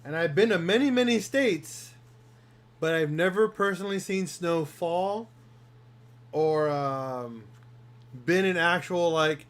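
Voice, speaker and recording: Man, thirties, high voice, relaxed rambling chat, laughs a lot. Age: 30s. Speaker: garage channel host. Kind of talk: casual live Q&A chat.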